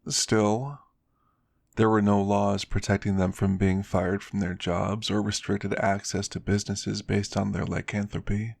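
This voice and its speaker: A man narrates a scripted story for ASMR in a low voice with plenty of fry. The delivery stays fairly even, and the recording is dry and close. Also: unhurried, no room sound.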